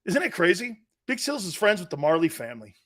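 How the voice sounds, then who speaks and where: A man's voice: high-pitched, sing-song, quick pace, fairly bright tone. A middle-aged man hosting a live sports talk show, joking and rambling, laughing often as he talks. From Italian-American family.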